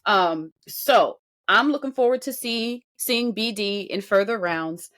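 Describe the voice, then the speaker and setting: Female podcast co-host, bright and forward voice, emphatic delivery, breaking down performances off the cuff. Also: medium pitch, unhurried. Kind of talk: unscripted music show commentary.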